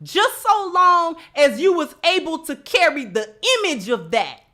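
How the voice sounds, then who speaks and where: High, bright-voiced American woman. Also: big pitch swings, unhurried. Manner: emphatic, repeats phrases for effect; informal colloquial speech. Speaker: livestream host. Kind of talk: opinionated commentary, reading out viewer comments.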